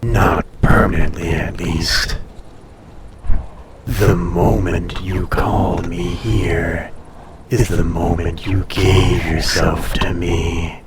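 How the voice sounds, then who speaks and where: A man voicing an ancient, haughty, possessive dragon in an intimate audio roleplay, speaking formally and deliberately with a breathy, softly menacing, seductive delivery. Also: low voice, steady tone, echoey room, far from the mic, crowd noise. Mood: condescending, teasing.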